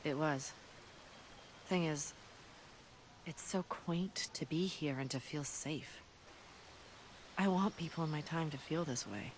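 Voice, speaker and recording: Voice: mid-range voice, slow pace, pauses often, lightly acted. Actor doing earnest war-worn fighter from the future, video game, solemn, noisy background. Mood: solemn, wistful. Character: earnest, battle-hardened resistance fighter from apocalyptic future. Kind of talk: video game cutscene acting.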